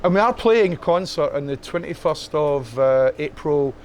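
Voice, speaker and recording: A middle-aged man with a Scottish accent, talking as a guest in a club. He speaks haltingly, with many fillers and restarts. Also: a little high, expressive, a little mellow, slight background noise. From Scotland. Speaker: interview guest, musician. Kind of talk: casual chat.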